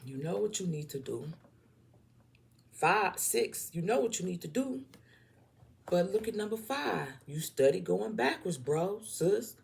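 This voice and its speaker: Slow, low-voiced Southern US woman reading tarot. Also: very animated, vocal fry. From southern United States. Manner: emphatic, repetitive, blunt, checks in with "okay?". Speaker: tarot reader. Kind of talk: informal spiritual tarot reading to viewers.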